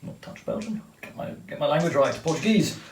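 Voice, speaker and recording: A man's voice: fairly high pitch, expressive, brisk, reverberant, slight background noise, rough recording. A man methodically explaining each step of a tabletop wargame turn, talking through the rules as he plays. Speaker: wargame player explaining turns. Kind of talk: tabletop game commentary.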